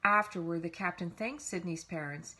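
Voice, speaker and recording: A woman's voice: lowish voice, moderate pace. Woman reading a children's story expressively. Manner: animated, dramatic emphasis for young listeners. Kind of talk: expressive read-aloud storytelling.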